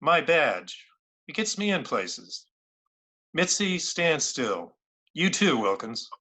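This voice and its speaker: A man's voice: on the high side, big pitch swings, takes it slowly, bright, forceful tone, full character voice. A man playing a tough, sarcastic police lieutenant, commanding and mocking, in an amateur radio play. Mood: sardonic. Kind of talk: amateur old-time radio play acting.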